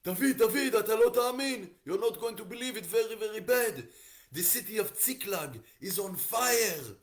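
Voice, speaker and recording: A man's voice: medium pitch, expressive, takes it slowly, a bit bright. A man voicing a panicked messenger in a dramatic story, frantic and repeating himself. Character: panicked messenger man bringing terrible news.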